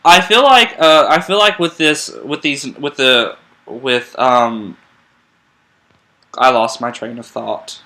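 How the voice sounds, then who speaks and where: Eager young man with a bright voice. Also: medium pitch, takes it slowly. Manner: rambling, eager, restarts sentences. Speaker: podcast co-host. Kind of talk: casual fan chat.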